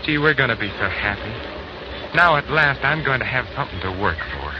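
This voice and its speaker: Man playing earnest young bachelor, swooping expressive delivery, ardent and boyish, 1940s radio drama, muffled noisy recording. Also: medium pitch, brisk, lightly acted. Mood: earnest, idealistic. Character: earnest, ambitious, love-struck young Canadian bachelor.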